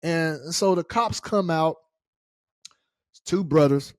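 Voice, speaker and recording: A man's voice: on the high side, slow, no room sound, clean studio sound. A middle-aged American man telling a personal story casually and colloquially, acting out the dialogue as he goes. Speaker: storyteller, show host.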